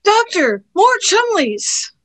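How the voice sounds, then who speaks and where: A woman playing an anxious, excitable young female time-traveller in a radio play. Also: very high voice, slow pace, heavily acted. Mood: alarmed.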